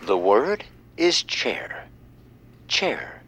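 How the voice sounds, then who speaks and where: A man playing a solemn quiz show announcer in an audio comedy, hushed and deliberate. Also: medium pitch, sing-song, some chatter behind, a little hiss or hum, exaggerated voice.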